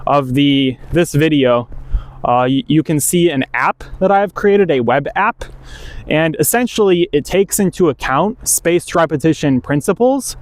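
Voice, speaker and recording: A man's voice: on the high side, lively intonation, a little slow, no echo. A man who is a native English speaker, talking casually and off the cuff to viewers as a language-learning video creator, correcting himself as he goes.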